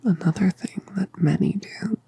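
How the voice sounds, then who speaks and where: A woman voicing a colossal, tender mother dragoness in a whispered ASMR roleplay, speaking softly and soothingly. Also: medium pitch. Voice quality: breathy whisper. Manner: soft, slow, soothing.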